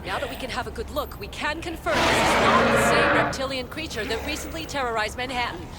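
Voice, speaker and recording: An actress playing an urgent television reporter in a video game, with loud music behind her. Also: sits high, quick pace, lightly acted. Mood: brisk, urgent. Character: urgent female television news reporter at the scene. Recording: loud music and background sound.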